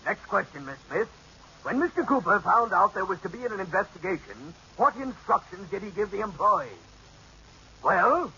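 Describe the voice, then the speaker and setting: A pompous, interrogating bank examiner with a high, bright voice and a lively, accusing lilt. He belongs to a 1940s radio comedy. The recording is dull with a faint hiss. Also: normal speed, exaggerated voice. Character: stern, suspicious male bank examiner. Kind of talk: 1940s radio sitcom acting. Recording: faintly hissy dull old radio broadcast.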